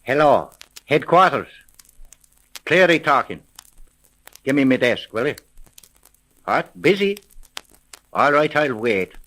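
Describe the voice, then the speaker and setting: An actor playing a brusque, wary American police sergeant in a 1930s radio detective drama, with clipped, slangy, commanding speech. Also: male voice, mid-range voice, very animated, slow, pauses often, a bit bright, heavily acted, poor audio. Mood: pressing, staged.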